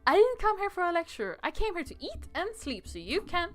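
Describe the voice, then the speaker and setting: Woman playing a high-voiced, hot-tempered young princess. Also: big pitch swings, brisk, fairly bright tone, faint music behind, full character voice. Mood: defiant. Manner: indignant, dramatic.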